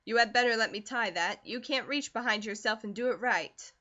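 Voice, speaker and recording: Woman reading as a stern farm mother, clipped, scolding, bit higher than the narration, dull muffled sound. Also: fairly quick, sharp tone. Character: stern hard-bitten farm mother, sharp-tongued. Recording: dull lo-fi recording.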